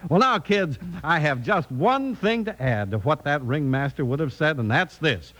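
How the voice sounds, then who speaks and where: Casting: male vintage radio announcer, bright forceful tone, swooping delivery, hearty salesman enthusiasm. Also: a little high, animated, moderate pace. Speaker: announcer and narrator.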